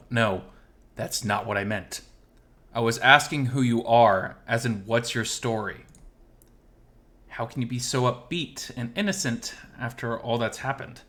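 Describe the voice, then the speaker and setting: A man's voice: medium pitch, expressive, measured pace, long pauses, a bit bright. A man playing a guarded, confident, muscular male survivor of an apocalypse in a visual novel, direct and self-assured. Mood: demanding.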